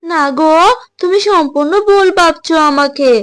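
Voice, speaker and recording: A woman acts out a magical talking tree in a children's cartoon. The voice is high and feminine, with a kindly, gentle temper. It speaks like a benevolent helper of the poor. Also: normal speed. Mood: earnest, reassuring. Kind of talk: cartoon voice acting.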